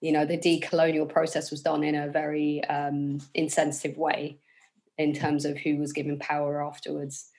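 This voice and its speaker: A woman's voice: deep voice, completely flat delivery, normal speed, a little mellow. A woman with a London accent, a guest in a casual interview, talking thoughtfully with fillers and restarts. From England.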